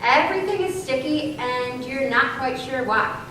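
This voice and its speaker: A woman performing a dramatic stage reading, with deliberate, declamatory delivery. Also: sits high, lively intonation, pushed and bright. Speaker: readers theater performer. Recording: very echoey hall, far microphone, noisy hiss.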